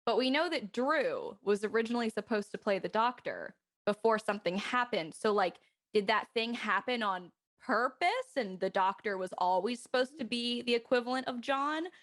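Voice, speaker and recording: A woman's voice: fairly high pitch, animated, normal speed, a bit bright. A woman chatting casually as a panel guest, thinking theories through in slangy speech. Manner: slangy, speculative. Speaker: cast member on a panel. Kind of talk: casual chat.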